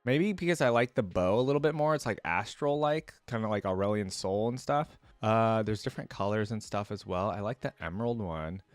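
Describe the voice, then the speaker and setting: A man's voice: on the low side, expressive, fairly quick, hardly any pauses, no echo. A man giving casual reaction commentary, thinking aloud in informal, slangy language. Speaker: reaction video creator.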